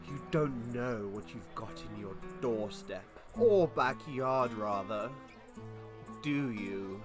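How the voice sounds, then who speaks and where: An actor playing a smug, spoiled rich rival in a comedic audio drama, with a condescending, theatrical delivery. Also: male voice, medium pitch, animated, slow, music in the background. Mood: sly, threatening.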